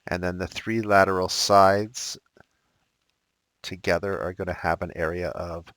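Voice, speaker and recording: A man teaching a recorded math lesson, thinking aloud and correcting himself as he works problems, his voice slightly raspy. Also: low-pitched, fairly even intonation, measured pace, fairly bright tone, no room sound.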